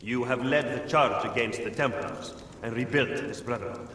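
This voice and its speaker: A man's voice: mid-range voice, normal speed, a bit bright, lightly acted. A man playing a grave, eloquent assassin statesman in a video game drama. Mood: solemn. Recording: very echoey hall, some noise.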